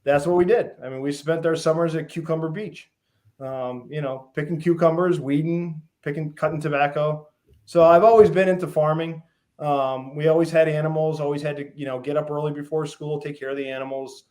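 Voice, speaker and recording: Middle aged massachusetts guy, kinda higher voice, laid back storytelling w lots of ums. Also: brisk. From Western Massachusetts, USA. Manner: relaxed storytelling with many ums and lists. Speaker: guest, fish farm founder. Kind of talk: casual interview.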